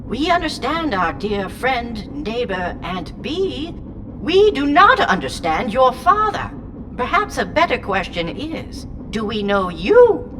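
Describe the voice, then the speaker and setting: A woman's voice: fairly high pitch, big pitch swings, slow. A woman voicing an ancient, whimsical and haughty alien entity inside a small robot in an audio drama, speaking in theatrical, rambling, pompous riddles. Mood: musing, mysterious. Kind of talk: full-cast audio drama acting. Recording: clear noise bed behind the voice.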